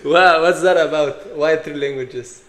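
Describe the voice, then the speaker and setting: A man's voice: a little high, a little slow, fairly bright tone. Male host, echoey room. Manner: friendly questions, short backchannels. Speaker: podcast host. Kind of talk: casual interview.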